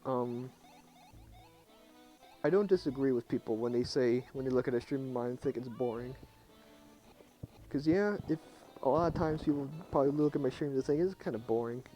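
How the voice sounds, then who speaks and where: A young man with a low voice, talking quietly and casually to his chat at night while he plays a game. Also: normal speed, pauses often, low-quality recording. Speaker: streamer. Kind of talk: casual live game commentary. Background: faint game music.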